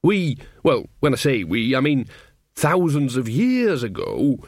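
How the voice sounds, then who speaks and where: A man voicing an evasive, exasperated old country mother, rambling and halting in an audiobook. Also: medium pitch, huge pitch swings, no room sound, studio quality, heavily acted. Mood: hesitant, explaining. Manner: vague, halting, rustic grammar. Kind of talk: audiobook character acting.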